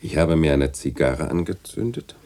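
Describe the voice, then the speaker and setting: An actor playing a jovial, teasing literary critic in a German radio play, husky and theatrical, speaking in florid, ironic phrases. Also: male voice, extremely low pitch, expressive, moderate pace, mellow. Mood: musing, ironic.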